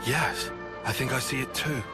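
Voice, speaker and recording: A man's voice: mid-range voice, normal speed, natural voice. A man playing a thoughtful, sober young comrade in a dramatic video game, speaking reflectively. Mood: sober realization. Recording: loud music over dialogue.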